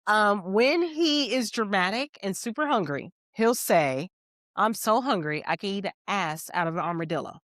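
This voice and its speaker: A woman with a Texan accent chatting casually as a podcast co-host and telling stories in an animated way. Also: mid-range voice, normal speed, no echo, clean studio sound. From Texas, United States. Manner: animated storytelling, quoting people in dialogue. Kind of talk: casual chat.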